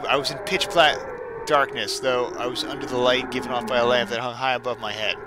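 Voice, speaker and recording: A man's voice: mid-range voice, level tone, fairly quick, noisy background, natural voice. A man playing an earnest young gamer who narrates his horror tale in an overwrought way. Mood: anxious melodrama. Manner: overwrought, melodramatic.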